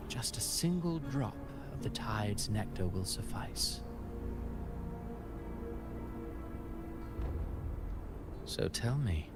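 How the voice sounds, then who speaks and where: An actor playing a cryptic, grandiose villain in a video game, speaking deliberately and theatrically with breathy whispered turns. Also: male voice, lowish voice. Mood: smug, ominous. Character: theatrical male villain playwright, cryptic, grandiose, coldly amused herald. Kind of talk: dramatic scripted video game voice acting. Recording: loud music behind the voice. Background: loud game music.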